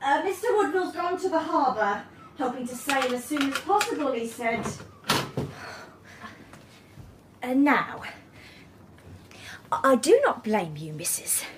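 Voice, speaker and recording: A woman's voice: high voice, sing-song, moderate pace, noisy background. An actress playing a prim, self-pitying British landlady in a period radio drama, fussy and theatrically indignant. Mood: prim, apologetic. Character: prim, sanctimonious landlady, self-pitying genteel single lady.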